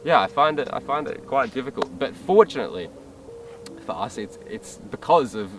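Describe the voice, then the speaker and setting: A man's voice: expressive, normal speed. An Australian man chatting casually as a podcast host, in a blokey, sweary, self-deprecating way. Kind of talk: casual chat. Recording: distant mic, music and vehicle rumble behind.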